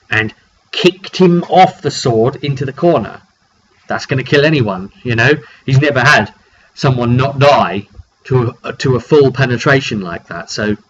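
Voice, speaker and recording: Man with a cold, dull noisy recording. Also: mid-range voice. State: has a cold, frequent throat clearing. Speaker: game master recapping his tabletop roleplay sessions. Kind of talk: casual recap storytelling to camera. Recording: dull lo-fi makeshift mic, clearly noisy.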